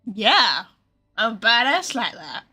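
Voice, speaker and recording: Woman game streamer, high animated voice, chatty, joking, casual live commentary, faint music behind. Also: takes it slowly.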